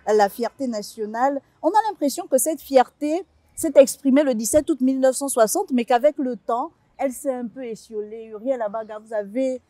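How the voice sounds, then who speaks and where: A woman's voice: high-pitched, moderate pace, warm tone, no echo. A young woman hosting a televised panel, asking questions in a formal, poised way from a studio. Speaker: host. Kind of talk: tv panel moderating.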